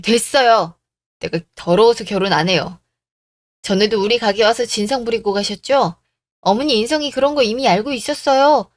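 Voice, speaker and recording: A defiant young bride-to-be, played by a woman, assertive and cutting in a radio drama. The voice is somewhat high, recorded close and dry. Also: moderate pace, no echo, natural voice. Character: bold, defiant young bride-to-be, plucky daughter. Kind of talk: radio drama story acting.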